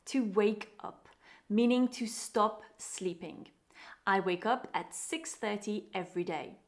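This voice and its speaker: Upbeat British woman teaching English. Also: medium pitch. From Britain. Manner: clear, upbeat teaching delivery. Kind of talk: scripted online English lesson.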